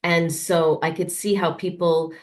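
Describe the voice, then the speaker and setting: A woman's voice: lowish voice, flat delivery, a little slow, a little mellow. A woman hosting a podcast, musing in a rambling way with many fillers before she asks her questions. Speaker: podcast host. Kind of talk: casual interview conversation.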